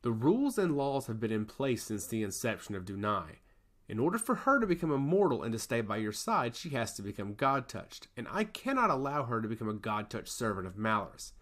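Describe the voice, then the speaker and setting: A man's voice: medium pitch, very animated, brisk, fairly bright tone. A man voicing a stern goddess of law in a storybook, scolding in formal, emphatic speech. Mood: stern, harsh. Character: stern, disdainful goddess of law, easily annoyed.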